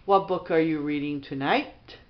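A woman's voice: low voice, normal speed, dull audio. A middle-aged woman chatting casually with a child, as a mother filming at home. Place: small bedroom at home. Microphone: handheld camera mic.

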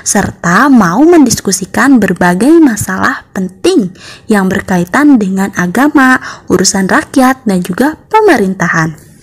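A young woman teaches a school lesson in a slightly breathy, husky voice. She reads her material in an orderly, instructional way, point by point, for schoolchildren. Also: medium pitch. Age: young adult. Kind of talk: scripted lesson narration for schoolchildren.

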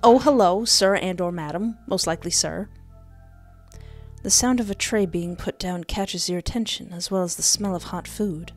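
A young woman hosting a game playthrough on a close microphone, reading text aloud and adding animated, jokey asides. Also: fairly low pitch, moderate pace. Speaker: gaming video host. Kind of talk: playful let's play commentary mixed with reading game text aloud. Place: indoor home recording setup. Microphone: close desktop microphone with headset. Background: game music.

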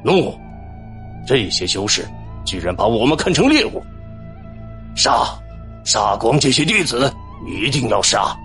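An enraged ghost of the underworld played by a male voice actor hungers for blood in a fantasy audiobook. His pitch swings in an animated, vengeful way, with faint music behind him. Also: measured pace, pauses often. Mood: furious, bloodthirsty. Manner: vengeful, fiercely exclaiming. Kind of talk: dramatised fantasy audiobook acting.

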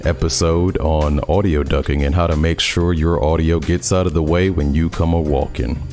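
A man in his thirties hosting a casual, upbeat streaming tutorial, joking as he explains, recorded at home on a studio mic on a boom arm. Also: steady tone, moderate pace, music in the background. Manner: upbeat, playful, joking asides. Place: home streaming room, indoors. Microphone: large studio condenser on boom arm.

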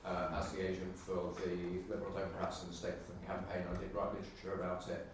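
A man making a formal statement in a halting way at a meeting. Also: sits low, completely flat delivery. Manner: halting, stammered restarts. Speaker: political agent. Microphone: distant camera microphone. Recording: echoey room, far off, faint noise.

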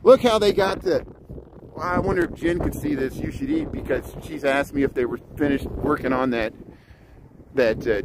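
Midwestern man chatting outdoors over background noise. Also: a little high. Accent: American Midwestern. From Indiana, United States. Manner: halting, chatty, jumping between subjects. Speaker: livestreamer. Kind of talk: casual rambling walk-along livestream chat. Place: outdoors, walking in a city park. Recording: noisy outdoor ambience with traffic.

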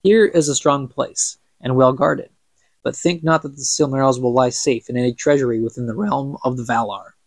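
A man voicing a cunning, proud dark god from a fantasy book, speaking in grand, persuasive archaic speech. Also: medium pitch, fairly even intonation, normal speed, no room sound, natural voice. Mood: sly.

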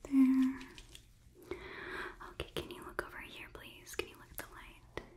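A young woman doing a whispered ASMR roleplay as a polite, clinical examiner, softly giving instructions up close to the microphone. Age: young adult. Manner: soft, deliberate, instructive. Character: polite, clinical robot overlord examining a human. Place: small indoor room set. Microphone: close microphone, just out of frame.

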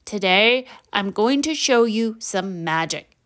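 An eager schoolboy from a children's storybook, played by a woman. The delivery is emphatic and wide-eyed, with lively swings in pitch. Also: takes it slowly. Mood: proud. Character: eager schoolboy who adores magic.

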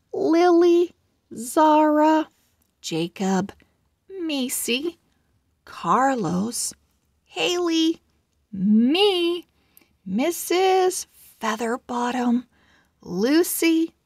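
Woman as a very slow, cheerful little girl owl. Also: sits high, no echo, studio quality, full character voice. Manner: deliberate, animated, emphatic. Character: cheerful, chatty young girl owl writing her diary.

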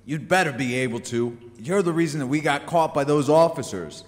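A man's voice: fairly high pitch, expressive, fairly quick, natural voice. Man acting a bossy crook in echoey reverb. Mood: angry, bossy. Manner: gruff, commanding, impatient. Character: bossy, short-tempered New York crook and heist schemer. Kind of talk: audio theater acting.

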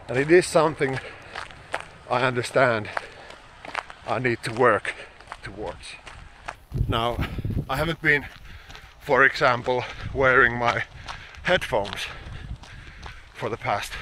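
A man's voice: medium pitch, a bit bright. A man in his thirties with a Finnish accent, talking casually to camera while out on a run on a snowy roadside, thinking aloud with many fillers and restarts. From Finland. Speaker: vlogger. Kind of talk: casual vlog while out running. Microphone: small wired mic, camera held close. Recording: outdoor wind and traffic noise.

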